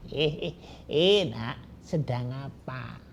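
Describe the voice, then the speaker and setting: A man's voice: medium pitch, expressive, creaky, a little hiss or hum. A man voicing a kindly old grandfather who chuckles and draws out his words while giving a hint. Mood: knowing. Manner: chuckling, drawn-out speech.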